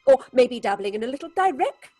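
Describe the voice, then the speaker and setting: An actress playing a stern, anxious, domineering theatre director who talks in a melodramatic way in an audio horror drama. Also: female voice, high voice, sing-song, fairly quick, dark tone, faint music behind. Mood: suspicious, cutting. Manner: imperious, melodramatic, talks aloud to herself.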